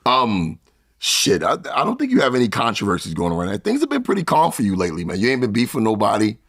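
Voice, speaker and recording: A man's voice: fairly low pitch, wildly swooping pitch, fast talker, slightly rough. A man in his forties hosting a casual interview, asking blunt, teasing questions and swearing easily. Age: 40s. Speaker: interview host.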